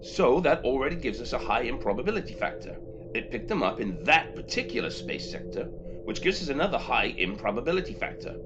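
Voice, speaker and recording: Male actor as cocky slangy spacefarer, exasperated manner, lively intonation, audio drama, clear background noise. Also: mid-range voice, a little fast, lo-fi sound, close to an everyday voice. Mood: brisk, intent. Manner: swaggering, slangy, easily exasperated. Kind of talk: amateur audio drama acting.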